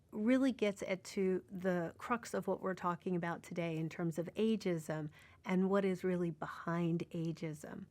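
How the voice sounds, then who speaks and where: A woman giving an informal, explanatory lecture, pausing often as she thinks through her points. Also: lowish voice, dry recording.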